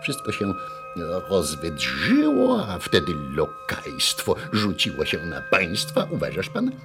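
An actor playing a furtive, bewildered man in a radio drama, speaking haltingly and cautiously in a mutter. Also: low-pitched, huge pitch swings, creaky, exaggerated voice. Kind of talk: theatrical radio drama acting. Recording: 1980 radio play with music behind.

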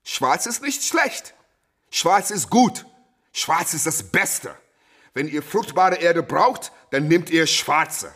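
An actor in a German radio play voicing a proud, fiery young boxer who preaches emphatically with rhetorical repetition. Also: male voice, on the high side, expressive, slow pace, fairly bright tone, husky, heavily acted. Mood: fervent preaching.

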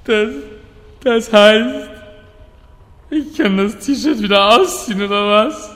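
An actor playing a huge fire lord in a comedic fantasy audio drama, grandiose and theatrical, sulking like a hurt child. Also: male voice, high-pitched, fairly bright tone. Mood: hurt, whiny. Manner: grandiose, tearfully sulky, drawn-out declaiming. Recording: long hall reverb.

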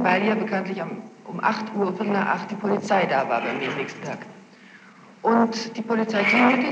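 A young adult woman giving spontaneous, insistent testimony as a witness, repeating words for emphasis. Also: medium pitch. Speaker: witness being questioned. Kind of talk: spontaneous testimony recounting events. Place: reverberant room, taped interrogation. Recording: noisy, muffled, echoey archival tape.

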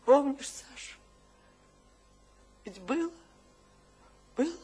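An actress playing an anguished, penitent wife who failed on the stage, in a theatrical radio drama. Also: female voice, high-pitched, expressive, takes it slowly, mellow, slight background noise. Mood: wistful, ardent.

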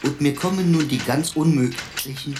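Radio play machine role: actor voicing a rhyming computer, mid pitch, reciting verse over clear clattering noise. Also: moderate pace, natural voice. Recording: clearly noisy, mechanical clatter and clicking.

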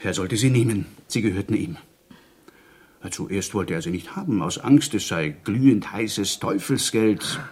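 Husky excitable village storyteller, male. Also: fairly low pitch. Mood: hushed, marveling. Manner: dramatic, halting for suspense. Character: male Basque villager, excitable, superstitious, spinning a wondrous tale. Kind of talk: radio play dialogue, oral storytelling.

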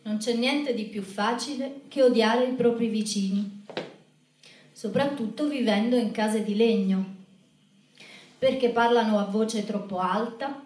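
Echoing, higher-pitched woman narrating from afar. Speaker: narrator of a spoken-word show about the human voice. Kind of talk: scripted literary monologue. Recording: roomy echo, distant mic.

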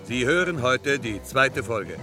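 A man's voice: medium pitch, expressive, a little slow, loud music behind the voice. A man narrating a formal, scripted recap in the manner of 1960s German radio drama. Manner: formal, precise. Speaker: radio drama director and announcer.

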